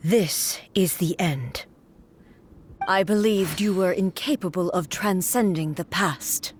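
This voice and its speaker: An actress playing a proud, stern immortal warrior woman in a video game, speaking formally and gravely with deliberate phrasing. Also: on the low side, a little hiss or hum. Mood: solemn, resolute.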